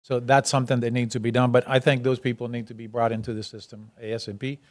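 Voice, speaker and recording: A man talks in a flat, even voice at a city workshop, plain-spoken and direct. His pitch barely moves. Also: quick pace, no room sound, clean studio sound. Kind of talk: public meeting discussion.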